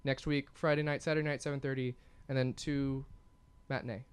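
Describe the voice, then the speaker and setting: A man's voice: medium pitch, flat delivery, brisk, slight background noise. A young man with an American accent hosting a radio show in a casual, joking way. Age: young adult. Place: small radio studio. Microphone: studio microphone with headphones.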